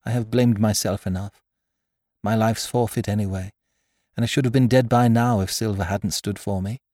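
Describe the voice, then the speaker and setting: A man's voice: lowish voice, unhurried, darkish tone, dry recording, clean studio sound. A man reading aloud and voicing a frightened but honest young boy, tearful yet steadfast.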